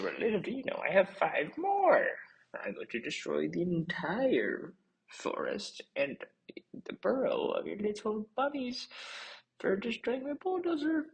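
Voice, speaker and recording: A man's voice: high voice, creaky, slight background noise, pitched above the performer's own voice, exaggerated voice, rough recording. A man voicing a greedy, scheming tycoon villain in a bedtime story, boasting in a raised, theatrical voice.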